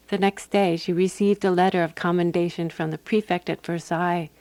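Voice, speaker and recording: A woman actor playing a composed, wry woman who narrates a radio drama in a measured, dry manner. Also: lowish voice, darkish tone, dry recording.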